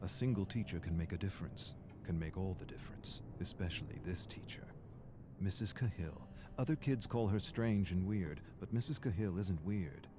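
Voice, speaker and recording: A man performing as a solemn storyteller, narrating a video game's character stories in measured, dramatic phrases. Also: low-pitched, natural voice.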